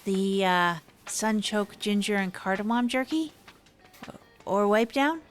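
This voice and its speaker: Female actor as hapless radio show host; earnest, halting, self-deprecating monologue over faint music. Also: mid-range voice, expressive, close to an everyday voice. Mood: hesitant, hopeful. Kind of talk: improvised comedy audio drama monologue.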